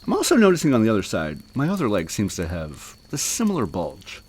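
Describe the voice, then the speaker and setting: A man co-hosting a comedy podcast, improvising a silly horror story with deadpan running gags. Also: fairly low pitch, fairly quick, breathy edge. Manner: deadpan, running gag delivery.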